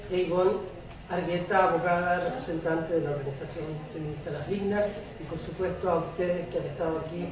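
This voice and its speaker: A woman moderating a public debate, addressing the room in a courteous, formal way. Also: fairly low pitch. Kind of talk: public event hosting, closing thanks. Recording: very echoey, distant, clearly noisy room.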